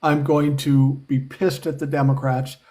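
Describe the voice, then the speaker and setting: Podcast host, male, mellow dark tone, blunt and casual. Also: mid-range voice, flat delivery, unhurried. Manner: blunt, profane, conversational. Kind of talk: casual live-stream chat.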